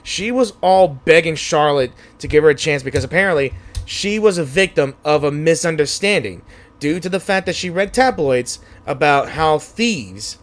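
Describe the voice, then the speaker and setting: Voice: medium pitch, a bit bright. A game streamer giving casual, blunt, teasing reaction commentary over gameplay. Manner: blunt, sweary, giggly, teasing. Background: faint game music.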